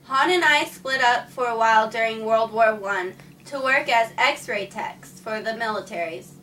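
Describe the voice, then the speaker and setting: A woman's voice: fairly high pitch, a little slow, sharp tone, a little hiss or hum. A woman narrating a scripted first-person history story, reading it plainly and earnestly. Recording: distant mic with faint background music.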